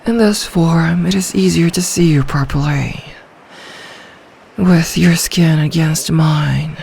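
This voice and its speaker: A woman playing an ancient, possessive sea dragon in human form for an ASMR roleplay, speaking in a regal, seductive, deliberate manner. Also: deep voice, creaky, a little mellow, slight background noise, heavily acted. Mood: tender, possessive.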